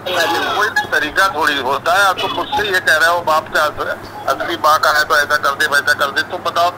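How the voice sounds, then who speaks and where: A man's voice: fairly high pitch, fairly even intonation, normal speed. An older man complaining in an exasperated way in a recorded phone call. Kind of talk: aggrieved talk in a recorded call. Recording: noisy played-back phone call recording.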